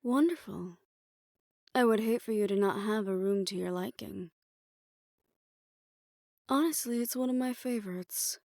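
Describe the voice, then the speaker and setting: She is the poised female manager of a secretive hotel for assassins, acted by a woman in an audio roleplay. She speaks slowly and formally, every courtesy edged with condescension, in a close, dry recording. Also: medium pitch, a little mellow, no echo, close to an everyday voice. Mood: gracious, smooth. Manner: formal, measured, politely condescending. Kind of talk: scripted audio roleplay addressed to the listener.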